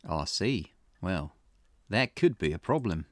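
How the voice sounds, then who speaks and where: A man's voice: deep voice, very animated, measured pace, no room sound. A man voicing a dry, inquisitive, businesslike male detective in dialogue read from a visual novel. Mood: wry, concerned. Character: male private investigator, wry, inquisitive and businesslike.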